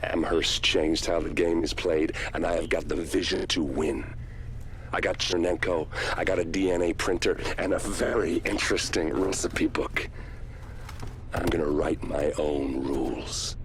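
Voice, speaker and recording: An actor playing a cynical rogue agent and calmly persuasive game villain, speaking in a smug, insinuating, deliberate way. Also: male voice, low voice, slight background noise. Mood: boastful, taunting. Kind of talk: dramatic game cutscene monologue.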